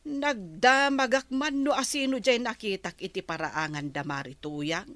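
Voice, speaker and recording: A woman's voice: a little high, normal speed, a bit bright, no echo, close to an everyday voice. A woman with an Ilocano accent playing a curious, gossiping neighbour in a radio comedy drama. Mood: gossipy.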